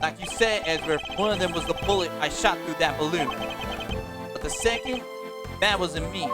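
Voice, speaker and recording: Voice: medium pitch, moderate pace, loud music behind the voice, close to an everyday voice. A streamer voicing an arrogant, scheming male bodyguard in a fan dub of a story game, boastful and sneering, full of chess talk. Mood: smug.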